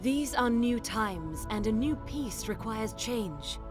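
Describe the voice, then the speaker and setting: Calm, higher-voiced advisor. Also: female voice, slow, music in the background. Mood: measured, hopeful. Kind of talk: scripted fantasy game dialogue.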